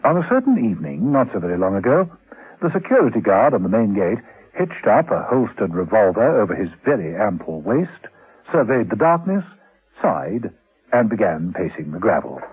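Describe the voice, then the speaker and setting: A man playing the wry narrator of a spy radio serial, telling it in a measured, theatrical, deliberate way. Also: on the low side, very animated, breathy edge, narrow, tinny audio, heavily acted. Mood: suspenseful, wry. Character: wry, suspenseful storyteller of a spy thriller. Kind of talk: dramatic scripted radio narration. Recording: band-limited old 1970s radio recording.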